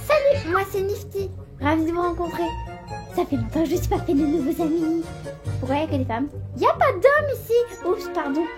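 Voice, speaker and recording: A tiny, high-pitched hyper demon girl. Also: fairly quick. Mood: chirpy. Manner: excitable, chattering. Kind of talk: amateur French animation fan dub. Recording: music behind the voice.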